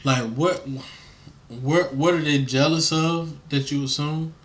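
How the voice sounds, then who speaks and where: A man's voice: on the high side, steady tone, takes it slowly. An American man interviewing in a casual, laid-back conversational way, slangy and checking in with the listener.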